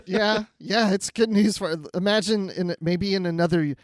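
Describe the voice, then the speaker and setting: A man with a fairly high voice in a very dry recording. He chats informally as a podcast co-host reviewing TV, reacting in a jokey, conversational way. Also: moderate pace, no echo. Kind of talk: casual TV episode review chat.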